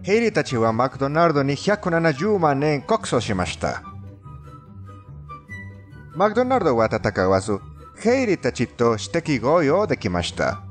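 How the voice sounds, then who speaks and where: A man's voice: on the high side, sing-song, normal speed, soft background music. A man with a non-native accent delivers scripted countdown narration in a formal, somewhat stilted storytelling manner. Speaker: narrator of a fandubbed countdown video.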